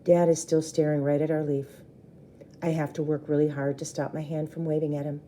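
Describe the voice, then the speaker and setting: A woman's voice: low-pitched, level tone, fairly quick, warm tone, slight background noise. A woman storyteller reading a children's book aloud expressively, with brief explanatory asides to listeners.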